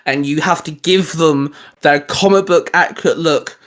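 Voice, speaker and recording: A man's voice: fairly high pitch, normal speed, fairly bright tone. A man hosting a casual, geeky panel chat, speaking informally and sometimes swearing. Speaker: host.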